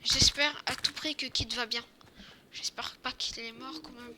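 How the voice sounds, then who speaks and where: An amateur performer playing an excitable, bewildered apocalypse survivor in an improvised game roleplay, rambling and repeating themself. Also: medium pitch, a little slow, a little hiss or hum. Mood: bewildered, remembering. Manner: rambling, repetitive storytelling. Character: survivor waking from twenty years in capsule, excitable, bewildered.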